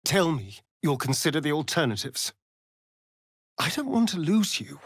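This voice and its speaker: A man's voice: a little high, very animated, normal speed, breathy edge, no echo, clean studio sound, exaggerated voice. A man with an English accent playing a vain, sardonic aristocratic vampire rogue in a video game, theatrical and arch. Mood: earnest, pained.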